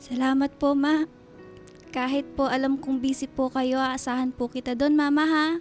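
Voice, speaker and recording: A woman's voice: high voice, little pitch movement, moderate pace, faint music behind, natural voice. A woman playing a timid, hopeful schoolgirl daughter in a radio drama, speaking politely and pleadingly. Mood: wistfully hopeful.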